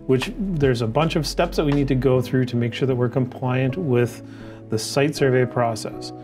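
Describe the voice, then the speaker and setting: A man's voice: medium pitch, moderate pace. A middle-aged man giving a conversational tutorial as an instructor, often asking questions and answering them himself. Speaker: drone training instructor. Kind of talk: explanatory tutorial, walking through regulations on screen. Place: indoors in an office by a window. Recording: music playing behind the voice.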